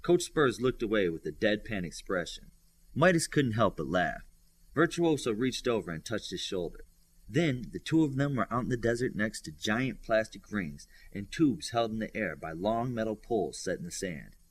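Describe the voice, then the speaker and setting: A man's voice: lowish voice, big pitch swings, moderate pace, husky. A man reading fiction as an audiobook narrator, in a scripted storytelling style. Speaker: audiobook reader. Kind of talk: scripted fiction narration with lifted character dialogue.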